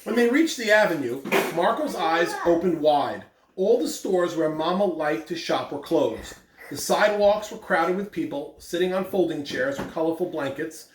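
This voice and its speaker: An American man with an upbeat, deliberate, emphatic delivery, giving school announcements and reading aloud to children as a principal. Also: a little high, lively intonation. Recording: roomy, distant mic with background noise.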